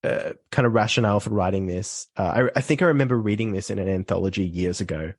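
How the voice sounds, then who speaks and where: Male podcast guest, easygoing talker, lowish voice, dry close mic. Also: quick pace, no echo. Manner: relaxed, chatty, laughs easily. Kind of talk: casual chat about books.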